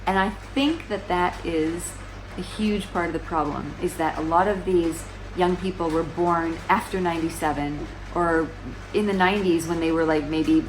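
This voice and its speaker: Low-voiced woman vlogger chatting. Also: background noise. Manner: conversational, many restarts, rhetorical questions. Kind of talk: casual explainer monologue. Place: small room indoors, seated on a sofa.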